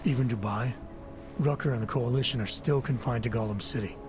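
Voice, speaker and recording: A man playing a gruff male special agent in a video game, clipped and skeptical. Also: brisk, music in the background, natural voice. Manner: clipped, low-key and skeptical.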